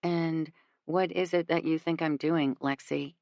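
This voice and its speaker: Calm, quiet young woman in a storybook, low and dry, read by a woman narrator. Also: a little slow, dry recording, lo-fi sound. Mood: subdued.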